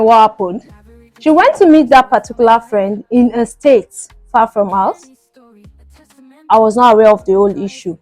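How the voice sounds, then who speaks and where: A woman's voice: on the high side, no room sound. A woman with a Nigerian accent hosting a story programme, telling a story conversationally with rhetorical questions. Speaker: storytelling show host. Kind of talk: narrated personal story.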